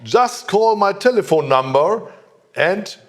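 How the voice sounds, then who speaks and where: A middle-aged man plays teleshopping host with theatrical amazement. His fairly high voice swings widely in pitch, and he takes his time, stressing his words emphatically like a salesman. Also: lively intonation, slow pace. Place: hi-fi showroom.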